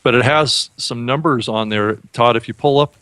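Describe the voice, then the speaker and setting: A man hosting a podcast, talking in a casual, halting way and repeating words as he thinks. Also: mid-range voice, fairly bright tone, no echo. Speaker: podcast host. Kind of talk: casual chat.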